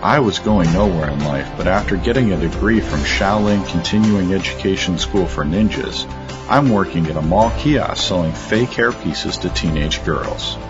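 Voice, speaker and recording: A man playing a pathetic middle-aged loser in a parody advert testimonial, earnest and deadpan. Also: low-pitched, normal speed, lo-fi sound, over music, natural voice. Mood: earnest gratitude.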